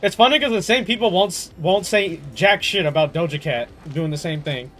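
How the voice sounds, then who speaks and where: High-voiced young man streaming games. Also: a little fast, faint music behind. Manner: animated, slangy, swears freely. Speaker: game streamer. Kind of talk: casual livestream chat.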